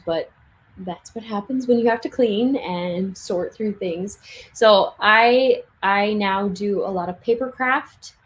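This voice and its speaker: A young woman chatting casually on a livestream from her home craft room, laughing often and restarting sentences, her voice getting hoarse. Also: mid-range voice, poor audio. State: losing her voice. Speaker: livestream speaker.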